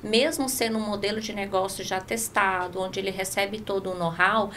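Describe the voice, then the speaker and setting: A woman's voice: medium pitch, fairly even intonation, moderate pace, a bit bright, slight background noise. A Brazilian woman talking conversationally as a podcast guest, often seeking agreement with little tag questions, into a close studio microphone. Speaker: franchisor guest. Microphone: close studio microphone on boom arm.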